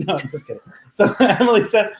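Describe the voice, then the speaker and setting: A woman hosting a casual online chat show, relaxed and laughing as she reads out requests. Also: mid-range voice, normal speed, a crowd in the background. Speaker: host.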